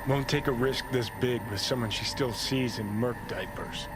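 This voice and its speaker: A man's voice: medium pitch, normal speed, close to an everyday voice. An actor playing a brash, foul-mouthed male rebel in a video game, blunt, cocky and pushy in his persuasion. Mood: pushy, persuasive. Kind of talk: video game dialogue acting. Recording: loud music behind the voice.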